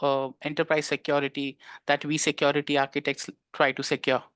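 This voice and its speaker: Smooth-voiced hesitant podcast host. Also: male voice, on the high side, completely flat delivery, brisk, fairly bright tone, no room sound, studio quality. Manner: hesitant, many uh fillers, short affirmations. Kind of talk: interview hosting.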